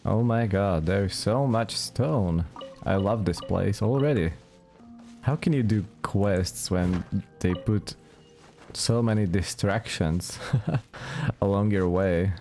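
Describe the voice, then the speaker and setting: Mellow man doing let's play commentary. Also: on the low side, slow pace. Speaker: gaming streamer. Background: faint game music.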